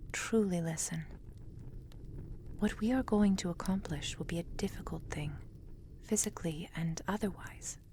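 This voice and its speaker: Woman acting a slow, whispery, possessive witch. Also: fairly low pitch, a little hiss or hum. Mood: solemn, cautioning. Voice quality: soft, breathy, frequently whispered. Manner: slow, formal, deliberate storyteller phrasing. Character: possessive, lovelorn immortal witch, proud and world-weary. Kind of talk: intimate ASMR roleplay monologue.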